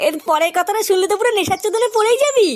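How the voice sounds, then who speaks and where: Very squeaky, cheeky cartoon slacker. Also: very fast. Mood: excited gossip. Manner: brash, slangy, excitable. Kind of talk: comic cartoon dialogue. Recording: music behind the voice.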